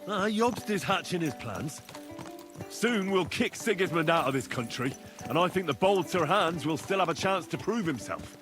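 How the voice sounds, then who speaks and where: An actor playing an earnest, loyal young squire in a medieval video game, talking plainly and reassuringly. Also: male voice, high-pitched, expressive, normal speed. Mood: resolute. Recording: loud game music behind.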